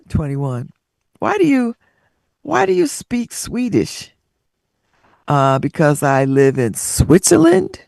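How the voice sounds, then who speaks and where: Woman radio host reading stories out loud, laughs a lot, sassy, voice bouncing up and down, dry close sound. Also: mid-range voice, dry recording. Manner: laughs often, animated and sassy asides. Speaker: radio talk show host. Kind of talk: reading out and riffing on listener stories.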